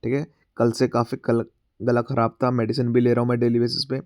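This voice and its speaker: A male current affairs teacher with a sore throat, going through exam quiz questions. He mixes Hindi and English, often says "theek hai", and reads the English question text slowly. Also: medium pitch, flat delivery, darkish tone, no echo, clean studio sound. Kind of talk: exam-prep class, explaining quiz questions.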